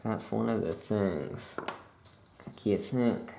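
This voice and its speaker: A soft-spoken ASMR performer talking as an easygoing, chatty witch shopkeeper, rambling and trailing off in an unhurried way. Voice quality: soft-spoken, low creaky voice. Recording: roomy echo, faint background noise.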